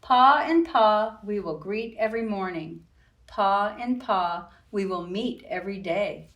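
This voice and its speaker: A woman reads to young children in an expressive, unhurried storytime style. She speaks directly to her little listeners as a librarian host would. The recording is roomy and echoing, with her voice some way from the mic. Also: mid-range voice, muffled recording. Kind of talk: children's storytime read-aloud with sing-song rhymes. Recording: roomy echo, distant from the mic.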